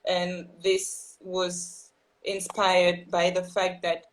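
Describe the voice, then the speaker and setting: A woman's voice: fairly low pitch, completely flat delivery, drawn-out words, poor audio. Earnest young woman from Burkina Faso. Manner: earnest, thoughtful, with frequent ums and restarts. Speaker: guest writer. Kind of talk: casual live-stream conversation.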